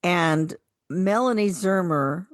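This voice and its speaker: A woman's voice: on the low side, slow, no room sound, studio quality. A woman co-hosting a radio show, speaking in a casual, chatty style. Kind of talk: casual radio chat with some program introductions.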